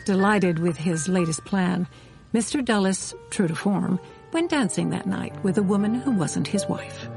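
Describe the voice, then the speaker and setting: An actress playing a composed intelligence insider who narrates a radio drama with an arch, dry, knowing manner. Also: female voice, mid-range voice, normal speed, gravelly, a little mellow, faint music behind, close to an everyday voice. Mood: wry. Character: wry, composed woman intelligence insider recounting events.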